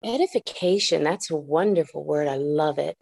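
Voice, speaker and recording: Low gravelly woman therapist, dry sound. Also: normal speed, a little mellow. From United States. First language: Japanese. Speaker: clinical therapist guest. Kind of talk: podcast interview, thoughtful explaining.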